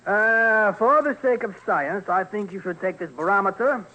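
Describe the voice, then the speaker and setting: An actor playing an earnest, lofty scientist in a radio drama, halting and pedantic. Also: male voice, high-pitched, sharp tone, low-quality recording. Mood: earnest, hesitant.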